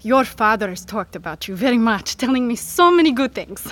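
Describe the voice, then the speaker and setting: A woman's voice: fairly high pitch, expressive, fairly quick, fairly bright tone. An actress playing a polite, formal female executive assistant with slightly stilted phrasing, in a naturalistic audio drama. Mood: gracious.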